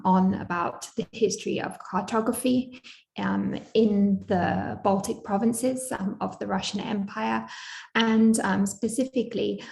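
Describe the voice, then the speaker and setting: A young Scottish woman giving an online academic lecture over a video call, measured, with frequent ums. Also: mid-range voice, gravelly, darkish tone, echoey room, poor audio. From Scotland.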